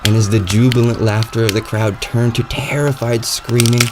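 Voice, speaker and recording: A man narrating a first-person horror audio drama, his voice climbing higher and tighter as the dread builds. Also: fairly even intonation, normal speed, music in the background.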